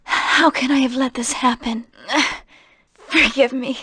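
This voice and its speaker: A woman voicing a tragic heroine in defeat for a video game, grave and halting. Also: high voice, steady tone, sharp tone, breathy edge, no room sound, heavily acted. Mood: despairing.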